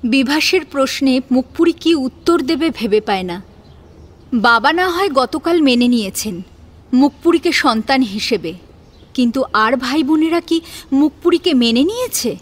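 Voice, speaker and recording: A woman's voice: high-pitched, animated, normal speed. A woman narrating a dramatic audio story with emotive delivery.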